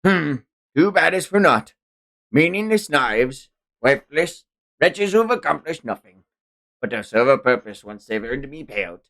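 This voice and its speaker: A man's voice: fairly high pitch, big pitch swings, measured pace, a bit bright, pitched above the performer's own voice, exaggerated voice. Man putting on a sneering, greedy pirate slave captain. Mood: mocking. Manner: sneering, archaic pirate phrasing.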